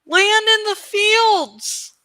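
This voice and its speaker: A woman voicing a distraught, angry teenage farm boy in a fantasy story, with shouting, anguished outbursts. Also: very high voice, fairly even intonation, extremely slow, no echo, pitched above the performer's own voice, heavily acted. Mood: desperate yelling.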